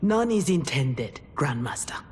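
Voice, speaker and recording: An actress playing a principled, defiant young ninja warrior in a video game, earnest and questioning. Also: female voice, a bass voice, big pitch swings, unhurried, darkish tone, a little hiss or hum, heavily acted. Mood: guarded, respectful. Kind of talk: dramatic video game cutscene acting. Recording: long hall reverb. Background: dramatic music.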